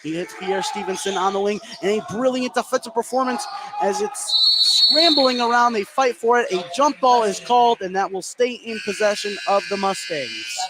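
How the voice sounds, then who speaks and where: Guy doing bball play by play on radio, highish voice, gets loud on big plays, noisy gym behind him. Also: lively intonation, moderate pace. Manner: voice rises into shouts on big plays. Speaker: play-by-play commentator. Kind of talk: live radio basketball commentary. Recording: clear gym noise behind the voice. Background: indoor high school gymnasium ambience.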